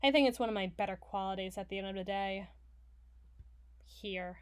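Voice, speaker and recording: A woman's voice: mid-range voice, normal speed, clean tone, close to an everyday voice. A woman playing a foul-mouthed, stubborn villain in an audio roleplay, blustering and sarcastic with her partner. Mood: gruffly softened. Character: brash, foul-mouthed, hot-tempered villain woman, gruffly soft on partner. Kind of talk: ASMR roleplay voice acting.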